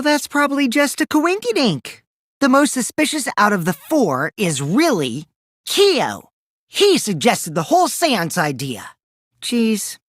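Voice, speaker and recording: A man's voice: extremely high pitch, fairly bright tone, no echo, clean studio sound, heavily acted. An actor playing a mischievous, taunting teenage boy in a video game, theatrical and teasing, with a youthful boyish voice that can turn from cutesy to cold. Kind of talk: animated game dialogue in a murder-mystery trial.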